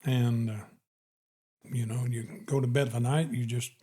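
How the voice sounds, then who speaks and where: A man's voice: medium pitch, little pitch movement, a little slow, warm tone. A man in his eighties from Illinois, a podcast guest answering plainly and in short, laid-back phrases. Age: 80s. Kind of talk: casual conversation.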